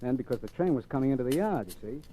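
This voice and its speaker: Actor as a mellow, cocky wisecracking drifter. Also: male voice, mid-range voice, expressive, racing, a little hiss or hum, natural voice. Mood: explaining, wary. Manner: wisecracking, slangy, offhand. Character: cocky, cynical drifter man accused of murder. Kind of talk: 1940s radio acting, first-person narration and dialogue. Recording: old radio recording with music behind.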